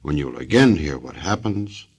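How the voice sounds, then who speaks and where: A man's voice: extremely low pitch, animated, moderate pace, breathy edge. A man announcing a 1940s radio drama in a scripted presenter's style.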